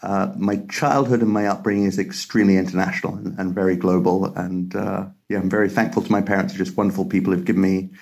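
A middle-aged man with a British English accent, a biotech CEO speaking in a measured, articulate way with frequent pauses in a podcast interview. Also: deep voice, little pitch movement, creaky, a little mellow. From Tanzania.

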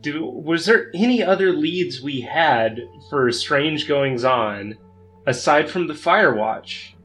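A man's voice: fairly high pitch, expressive, takes it slowly, a bit bright. A man chatting casually as a player in a tabletop roleplaying game. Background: music.

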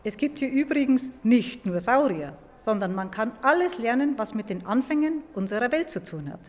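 A woman's voice: fairly high pitch, animated, normal speed, darkish tone. A woman speaking as a museum expert, explaining things to young listeners in a didactic way. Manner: clear, didactic phrasing. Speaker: museum paleontologist, expert guest. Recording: echoing hall, music behind.

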